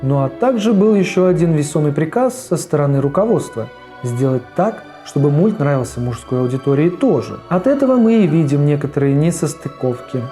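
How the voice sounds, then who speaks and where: A man's voice: a little high, very animated, a little fast, few pauses, mellow. A man hosting a cartoon review in a casual video essay narration style. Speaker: host of a cartoon review show. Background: background music under the voice.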